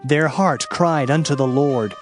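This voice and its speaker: Slow, solemn male scripture reader over music. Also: medium pitch. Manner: solemn, measured. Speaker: Bible narrator. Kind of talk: dramatized scripture reading. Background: background music.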